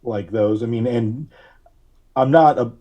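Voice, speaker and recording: A man talking casually as a podcast guest, halting and thinking aloud as he goes. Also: medium pitch, mellow, muffled recording. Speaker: audio drama writer. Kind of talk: casual interview talk.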